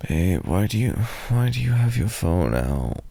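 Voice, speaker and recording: A young British man speaks in a low, gravelly murmur with a mellow, dark tone. He rambles slowly and softly in an improvised boyfriend ASMR roleplay, now and then dropping to a whisper, recorded dry and close. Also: very animated. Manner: soft, drowsy, rambling with slips into whispers. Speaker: ASMR performer.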